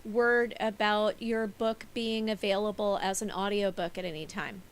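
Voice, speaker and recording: A woman co-hosting a live stream, gently reading out a viewer's question. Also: medium pitch, fairly even intonation, moderate pace. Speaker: co-host.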